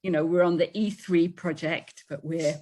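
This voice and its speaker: Low-voiced British woman on a video call. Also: steady tone, normal speed, warm tone, slight background noise. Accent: British English. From Britain. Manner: chatty, with fillers and light laughs. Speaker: English teacher at an engineering school.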